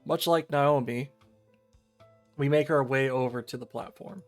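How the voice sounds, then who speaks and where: A man's voice: medium pitch, a little slow, soft background music. A man reading and talking through a visual novel on a livestream. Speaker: streamer.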